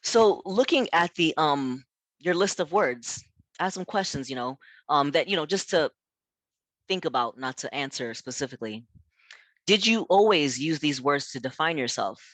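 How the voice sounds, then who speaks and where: A man's voice: on the high side, lively intonation, measured pace, fairly bright tone, dry recording. A man from South Africa with a Midwestern American accent, a minister giving a conversational workshop, earnest and full of "you know" and "right?". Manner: earnest and emphatic.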